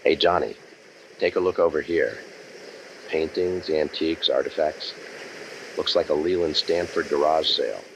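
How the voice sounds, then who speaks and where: An actor playing a cynical, wisecracking private detective in a deadpan, sardonic 1940s radio noir style. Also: male voice, very deep voice, moderate pace, pauses often, slight background noise. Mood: wry. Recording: dull lo-fi sound over music.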